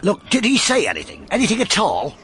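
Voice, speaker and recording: An English actor playing a world-weary, gruff middle-aged detective inspector in a radio drama, dry and clipped. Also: male voice, high-pitched, huge pitch swings, a little fast, crowd noise. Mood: grim, businesslike. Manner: dry, clipped, colloquial copper's talk. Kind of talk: BBC radio drama acting.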